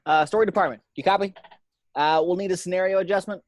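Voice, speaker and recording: Man playing fast-talking control-room technician. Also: sits high, no echo, clean studio sound, natural voice. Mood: officious. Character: harried, cynical male control-room technician.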